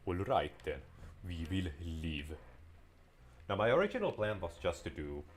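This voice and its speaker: Low-voiced man, rambling game commentary. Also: sing-song, measured pace, slight background noise. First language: Finnish. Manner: rambling, wanders into tangents and restarts sentences. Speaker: gameplay commentator. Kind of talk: casual let's-play commentary.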